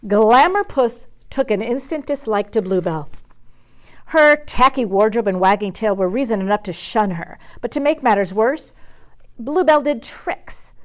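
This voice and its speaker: Lady reading a kids book out loud, expressive, pauses for drama, sound is a bit muffled. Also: a little high. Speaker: storybook reader. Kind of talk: expressive children's story narration.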